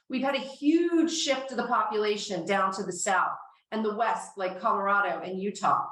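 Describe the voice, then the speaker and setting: A woman's voice: medium pitch, a bit bright. A woman co-hosting a finance podcast, explaining eagerly in casual talk while losing her voice. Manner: enthusiastic, explanatory. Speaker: podcast co-host. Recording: echoey, distant from the mic.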